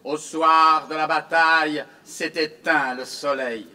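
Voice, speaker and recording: A man reciting his own commemorative poem in a solemn, theatrical, declamatory style with measured phrasing. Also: a little high, fairly even intonation, sharp tone.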